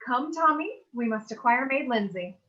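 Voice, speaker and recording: Woman acting bright, scolding Shakespearean babysitter. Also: fairly high pitch, animated, normal speed, lo-fi sound. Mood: brisk. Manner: firm, theatrical. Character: brisk, no-nonsense young female babysitter, firm and scolding. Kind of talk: Shakespearean parody staged reading.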